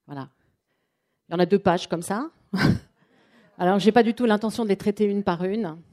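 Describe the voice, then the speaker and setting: A woman presenting a live coaching workshop to a room of people, talking in a chatty, lively way and acting out what clients say. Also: medium pitch, normal speed. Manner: lively, chatty, acts out quotes. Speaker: trainer and coach. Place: training room with an audience.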